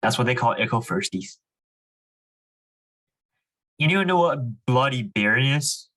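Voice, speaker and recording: A young man speaks at an unhurried pace in a medium-pitched voice. He leads a children's reading club, casually explaining things and reading aloud. His phrasing is informal, full of small conversational asides. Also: expressive, fairly bright tone. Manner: informal, frequent "like" and "you know". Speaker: student reading club leader.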